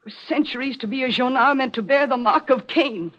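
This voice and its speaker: High husky haunted young woman. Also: a little fast, fairly bright tone. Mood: anguished resolve. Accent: American. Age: young adult. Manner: melodramatic, intense. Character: haunted, fatalistic young woman fearing a family curse. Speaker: radio actor. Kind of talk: 1940s radio mystery acting. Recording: muffled old radio recording.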